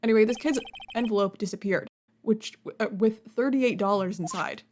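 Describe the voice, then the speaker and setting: A woman's voice: mid-range voice, normal speed. A woman reading a game's dialogue aloud, playful, with jokey asides. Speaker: let's play streamer. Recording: game music behind.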